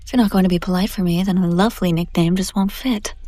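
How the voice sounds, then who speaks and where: Woman voicing a low, whispery, domineering seductive demoness. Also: fairly even intonation, dark tone, dry recording, natural voice. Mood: teasing, admiring. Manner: teasing, condescending, slow, lapsing into breathy whispers. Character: seductive, domineering female demon buyer taunting a captive angel. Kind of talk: intimate scripted audio roleplay addressed to the listener.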